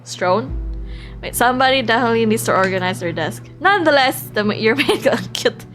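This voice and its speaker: A woman streams a visual novel with music playing behind her. She reads the text aloud in an expressive way, sometimes stumbling over words. Between passages she reacts casually, in a giggly, chatty manner. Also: on the high side, moderate pace.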